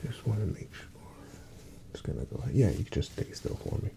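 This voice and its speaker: A soft-spoken man, at times whispering, gently playing a reassuring doctor in an unhurried ASMR medical exam roleplay. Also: lowish voice, sing-song, gravelly, a little hiss or hum.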